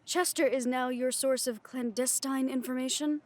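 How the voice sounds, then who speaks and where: High-voiced, sceptical werewolf packmate played by a woman. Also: steady tone, normal speed, lightly acted. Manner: pointed, questioning, challenging. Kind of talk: audio roleplay drama.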